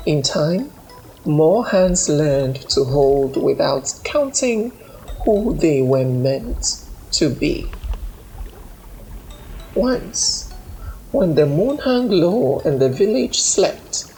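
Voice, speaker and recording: A woman narrating a scripted bedtime folktale in a calm, measured manner. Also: lowish voice, a little hiss or hum, low-quality recording. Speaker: storyteller.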